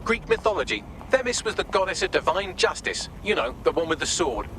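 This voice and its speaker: A man's voice: high-pitched, sing-song, a little fast, sharp tone, a little hiss or hum, full character voice. A man playing a witty, sardonic artificial intelligence assistant in a video game, with a dry, wordy, sarcastic delivery. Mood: smug, wry. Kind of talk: scripted video game mission dialogue.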